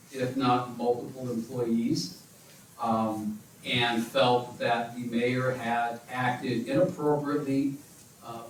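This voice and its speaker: A man's voice: mid-range voice, a little hiss or hum. Lawyerly man in an echoey chamber. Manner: careful, lawyerly, hedged phrasing. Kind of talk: measured formal account. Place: city council chamber. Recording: echoey council chamber, distant mic.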